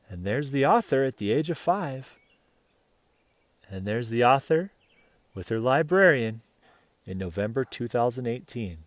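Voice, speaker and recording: A man reading a children's picture book aloud as an expressive storyteller, pausing for casual asides and questions to the listener. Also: medium pitch, dry recording.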